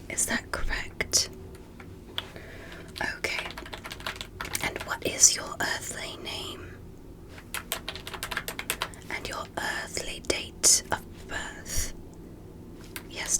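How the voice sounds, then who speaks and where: Woman doing an alien doctor asmr, whispery and really slow, polite n curious, gentle clinical exam voice. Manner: gentle, careful, deliberate, clinical. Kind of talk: whispered ASMR medical roleplay.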